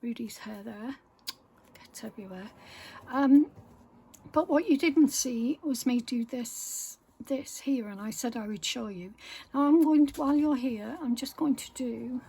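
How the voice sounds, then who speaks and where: A woman vlogging casually while she stitches at home, rambling softly with many pauses, her voice croaky from a sore throat. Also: fairly high pitch. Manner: soft-spoken, rambling, many pauses and fillers, often half-whispered. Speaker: craft vlogger. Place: home room with window open.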